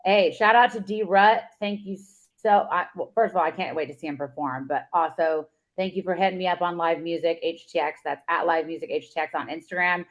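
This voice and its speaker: A woman co-hosting a casual sports podcast, upbeat and giving shout-outs. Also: fairly low pitch, steady tone, fairly quick. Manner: upbeat, gives shout-outs and sign-offs. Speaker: podcast co-host. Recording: dull lo-fi sound.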